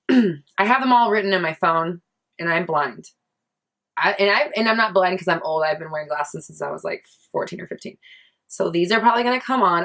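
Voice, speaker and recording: A woman's voice: low voice, a bit bright. A woman in her thirties talking candidly in a casual vlog, chatty and swearing freely, with many likes and restarts. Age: 30s. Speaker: vlogger.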